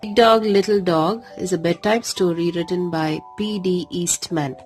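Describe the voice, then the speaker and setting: A woman reading a bedtime story aloud for young children in a deliberate, expressive way. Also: lowish voice, soft background music.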